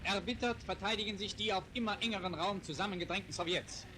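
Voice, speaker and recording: A man's voice: high-pitched, fast talker, very noisy. A man reads scripted wartime newsreel narration in a formal, declamatory announcer style, on a 1940s film soundtrack. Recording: 1940s newsreel soundtrack, loud music behind. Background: loud music.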